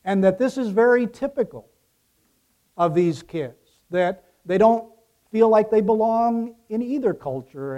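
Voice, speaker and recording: Slow, mellow-voiced male preacher. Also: fairly high pitch. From Ecuador, raised by American missionary parents. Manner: deliberate, emphatic phrases that trail off softly. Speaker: pastor preaching to his congregation. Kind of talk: sermon.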